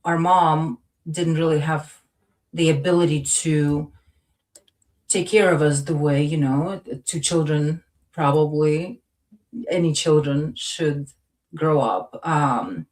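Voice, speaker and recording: A woman's voice: low voice, slow, darkish tone, low-quality recording. A woman from Latvia speaking modestly and hesitantly as a guest in a casual, personal conversation. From Latvia, then part of the Soviet Union. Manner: modest, hesitant, searching for words. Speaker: interview guest.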